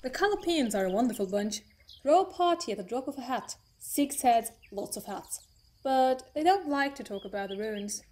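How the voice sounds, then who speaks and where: A woman's voice: on the high side, expressive, moderate pace, darkish tone, close to an everyday voice. A woman playing an upbeat, eccentric alien time traveller in a fan audio drama, chirpy and matter-of-fact as she rambles. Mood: upbeat, breezy.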